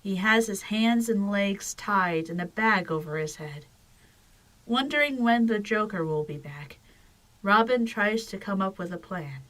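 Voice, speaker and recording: A woman's voice: mid-range voice, lively intonation, measured pace, fairly bright tone, rough recording. A woman narrator reading scripted storytelling for an audio drama.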